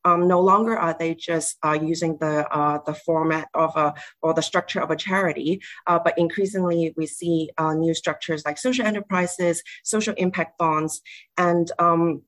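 A woman's voice: low voice, fairly even intonation, moderate pace, fairly bright tone. A woman speaking as a lawyer on a panel, introducing her work with frequent hesitations.

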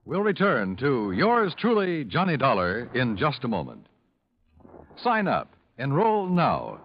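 A man's voice: mid-range voice, wildly swooping pitch, measured pace, background noise. An American man announcing on 1950s radio in an emphatic, formal style. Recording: muffled vintage radio with faint music.

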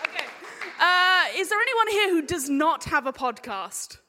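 Female live host, sharp bright tone, irreverent and jokey, excitable delivery, long hall reverb. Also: very high voice, moderate pace, some chatter behind. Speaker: panel chair. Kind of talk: informal, jokey live panel hosting.

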